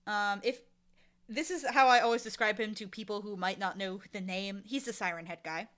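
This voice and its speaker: A woman with a very bright, forward voice talks in a casual interview. She is animated and emphatic, with an informal, joking delivery and a mid-range pitch. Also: fairly quick. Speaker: audio drama showrunner and writer, interview guest.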